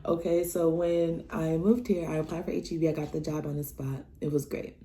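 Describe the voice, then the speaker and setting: A young woman from Nebraska telling personal stories in a casual vlog, acting out the dialogue with big jumps in her voice. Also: brisk. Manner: animated, acts out dialogue with big pitch jumps. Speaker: vlogger.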